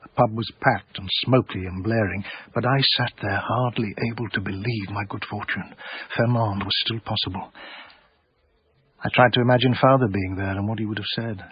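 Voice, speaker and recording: An actor playing an anxious, earnest small-time English art dealer in a radio drama, hesitant and self-effacing, with an English accent. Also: male voice, on the low side, steady tone, normal speed, breathy edge, no room sound, dull audio. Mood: reflective. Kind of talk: radio drama acting and first-person narration.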